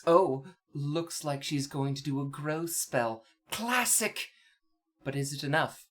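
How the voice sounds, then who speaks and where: A performer voicing a stern, expectant pony exam judge in a measured, pompous manner for a comic dub. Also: medium pitch, a bit bright. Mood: intrigued.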